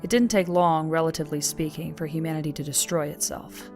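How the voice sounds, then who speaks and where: A woman performing grave, measured narration as the solemn storyteller of a post-apocalyptic audio drama. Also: sits low, fairly even intonation. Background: music.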